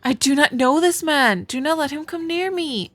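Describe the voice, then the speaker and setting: A woman's voice: sits high, lively intonation, moderate pace, fairly bright tone, no room sound, pitched above the performer's own voice. A woman acts out a young noble wife, frightened and indignant, in a dramatic visual novel style. Her lines are raised, emphatic and pleading, with faint music behind her. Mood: insistent, upset.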